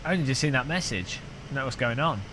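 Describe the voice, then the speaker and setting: A man's voice: medium pitch, lively intonation, fast, background noise. A man, chatting casually on a sim racing live stream, rambling and joking with his chat. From United Kingdom. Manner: rambling, joking, frequent restarts.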